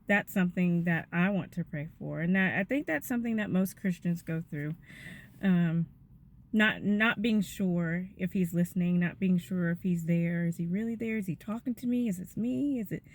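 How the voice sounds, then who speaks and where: Gentle, chatty woman in her fifties. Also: lowish voice, moderate pace. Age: 50s. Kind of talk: casual devotional talk to viewers.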